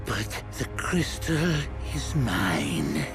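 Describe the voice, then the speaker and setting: An actor playing a mad, monstrous usurper in a video game, possessive and menacing in slow, grandiose declarations. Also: male voice, on the low side, sing-song, exaggerated voice. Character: mad, possessive usurper turned monster, raving about kingship. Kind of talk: dramatic video game voice acting. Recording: loud music over the voice.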